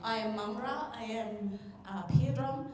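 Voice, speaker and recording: A woman's voice: a little high, a little slow, darkish tone. A woman introduces herself hesitantly, using many fillers. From Australia. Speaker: youth programs manager. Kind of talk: public speech. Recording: distant, very echoey hall.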